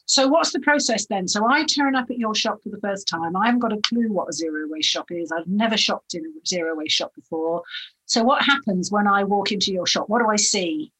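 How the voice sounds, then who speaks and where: A woman's voice: medium pitch, brisk, fairly bright tone, slightly rough, poor audio. A woman hosting a podcast interview, asking questions in an enthusiastic, chatty way. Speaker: podcast host.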